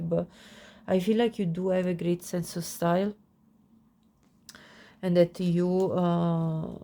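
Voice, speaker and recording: A woman with a cold and a nasal voice giving a pick-a-card tarot reading, gushing softly over the cards. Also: on the low side, a little hiss or hum. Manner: soft, gushing, warm-hearted with frequent little laughs. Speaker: tarot reader.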